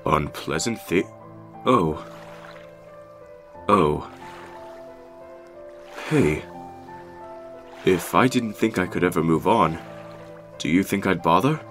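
A man voicing a big, gentle, hopeful goat monster father in an amateur comic dub. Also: deep voice, takes it slowly, pauses often, faint music behind. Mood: hopeful, wistful.

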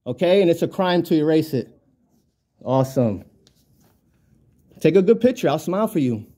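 A man's voice: a little high, very animated, normal speed, mellow. A man arguing with officials in an insistent, repetitive way, recorded on a handheld camera in an indoor office. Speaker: citizen journalist filming an audit. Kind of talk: argumentative confrontation with officials. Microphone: handheld camera held by the speaker.

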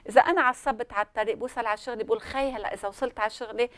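A woman's voice: fairly high pitch, steady tone, racing, a bit bright, no echo. A middle-aged woman with a Lebanese accent explaining things conversationally on a studio panel, slipping in French and English words. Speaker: studio guest on parenting and communication. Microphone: lapel mic.

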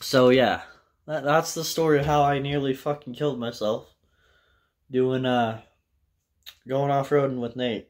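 A young man with an American accent and a medium-pitched voice talks off the cuff as a vlogger. His storytelling is casual and halting, wandering as he goes and peppered with profanity. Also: steady tone. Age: young adult. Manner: loose, profane, halting delivery. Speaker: vlogger telling a personal story. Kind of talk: casual rambling storytelling to camera. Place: small indoor room with bare wall.

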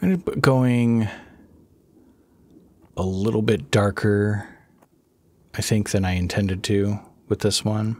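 A man with a somewhat low, husky, raspy voice talks through his drawing at an unhurried, dragging pace. He is under the weather and low on energy, rambling softly and chuckling now and then as he explains his process. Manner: slow, rambling, soft-spoken with chuckles. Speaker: art channel host. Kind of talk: relaxed drawing voice-over.

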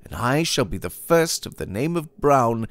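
A man's voice: medium pitch, expressive, moderate pace, no room sound, voice pushed up, close to an everyday voice. A man voicing an anxious, pious young Puritan husband in a storybook tale, earnest and hesitant in archaic formal English. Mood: earnest protest. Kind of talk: dramatised audiobook reading of a classic tale.

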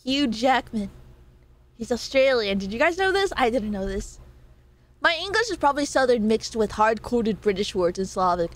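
A young American woman chatting casually with her stream viewers, animated, reading chat aloud and laughing often. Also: a little high, moderate pace. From United States.

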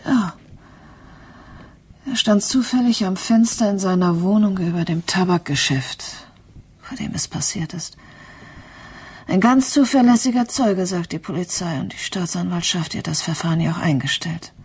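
An actress playing a grieving, resigned mother in a German radio crime play, speaking in a subdued, halting way. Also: on the low side, darkish tone, breathy edge. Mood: bitter resignation. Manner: subdued, halting, often near whisper. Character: grieving, resigned mother who lost her child.

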